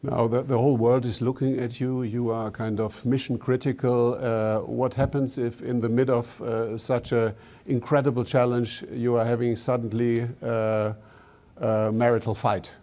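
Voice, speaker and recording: A man, interviewing guests on a large indoor stage in a teasing, quick-questioning way. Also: medium pitch, flat delivery, warm tone.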